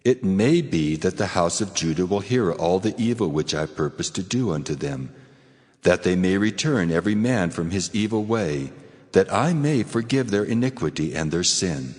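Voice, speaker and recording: A man's voice: deep voice, lively intonation, moderate pace, darkish tone. A man playing the solemn, commanding voice of the Lord in a dramatized audio Bible. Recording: long cavernous reverb on the voice.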